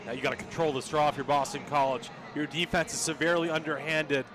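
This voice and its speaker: A man's voice: a little high, flat delivery, fast talker, a crowd in the background. A man giving live sports radio analysis as color commentator, in short clipped phrases.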